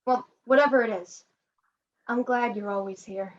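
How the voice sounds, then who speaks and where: A young woman playing a kindly older museum janitor in a student stage play, chatty and rough-edged, with a folksy, halting way of talking. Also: fairly high pitch, muffled recording, lightly acted. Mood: fond, reminiscing. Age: young adult. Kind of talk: student one-act stage play acting.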